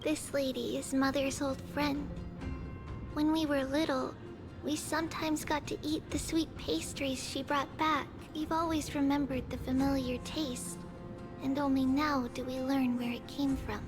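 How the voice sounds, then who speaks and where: An actress playing a sweet, reflective girl in a video game, telling of the past in a gentle, wistful way. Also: high voice, level tone, measured pace. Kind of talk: scripted video game dialogue. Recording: music behind the voice.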